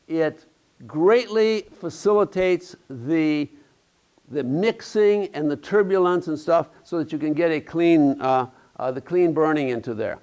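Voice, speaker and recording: Halting man lecturing in a hall. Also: on the high side. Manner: halting, many fillers and restarts, tag questions. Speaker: keynote speaker. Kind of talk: conference keynote lecture. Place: large lecture hall with audience.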